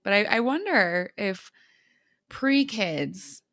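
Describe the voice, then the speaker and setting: Enthusiastic podcast host woman, gravelly. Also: medium pitch, big pitch swings, slow, fairly bright tone, no room sound, clean studio sound. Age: 30s. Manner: enthusiastic storytelling. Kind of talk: casual conversational interview.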